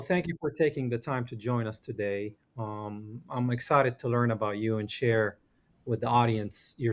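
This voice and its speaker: A man hosting an interview podcast, greeting and questioning his guest in a friendly, welcoming way. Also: medium pitch. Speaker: podcast host. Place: Georgia, US.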